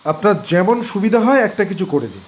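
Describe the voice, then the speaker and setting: A man's voice: high-pitched, very animated, brisk. An actor playing a young man stranded on an island with his partner, talking anxiously. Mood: worried.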